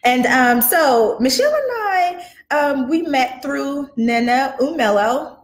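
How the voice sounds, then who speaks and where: A woman's voice: sits high, expressive, takes it slowly. A woman in her thirties hosting a podcast, chatting enthusiastically and affirming her guest, at a desk mic in an office room. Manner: enthusiastic, affirming with frequent mm-hmms. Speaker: podcast host. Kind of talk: casual interview chat. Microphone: desk microphone. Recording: echoey room, faint music.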